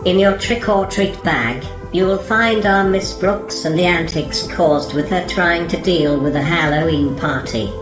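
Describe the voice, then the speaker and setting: A woman's voice: on the low side, measured pace, hardly any pauses. A woman host reading a scripted, spooky-themed introduction to an old-time radio program. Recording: echoey room with prominent music behind. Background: music.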